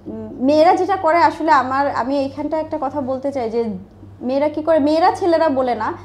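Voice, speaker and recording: High-pitched Bangladeshi woman, chatting in interview. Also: a little fast, a little mellow. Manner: talkative, repeats phrases, acts out quoted dialogue. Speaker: interview guest, small business owner. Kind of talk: conversational interview, personal storytelling.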